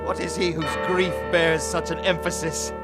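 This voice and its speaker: A man's voice: a little high, little pitch movement, normal speed, close to an everyday voice. A man playing an impassioned, grief-stricken young prince in a puppet-show Shakespeare, declaiming theatrically. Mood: anguished, declaiming. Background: loud background music.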